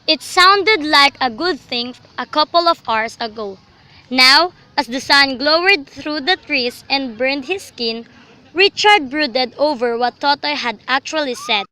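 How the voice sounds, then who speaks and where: High, bright young woman narrating. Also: lively intonation, measured pace, low-quality recording. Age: young adult. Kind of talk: scripted literary narration for a student radio drama.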